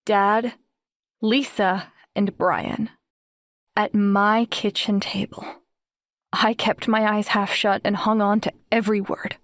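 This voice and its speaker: Woman voicing a teenage girl narrator, first-person audiobook, introspective, wary, measured, middle pitch, dry recording. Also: no echo.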